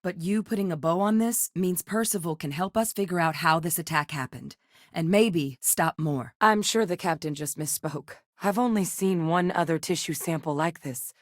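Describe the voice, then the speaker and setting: Low-voiced, determined woman scientist played by an actress. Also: normal speed, dry recording, clean studio sound, lightly acted. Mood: serious, earnest. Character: serious, driven woman military scientist, dry and determined. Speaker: voice actress in a video game. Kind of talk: acted sci-fi game dialogue.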